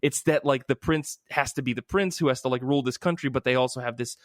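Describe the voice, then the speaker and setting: A man's voice: mid-range voice, quick pace, no room sound. Effusive man chatting on a dry-sounding podcast. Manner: effusive, emphatic, casually profane. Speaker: podcast host. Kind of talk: casual chat about comic books.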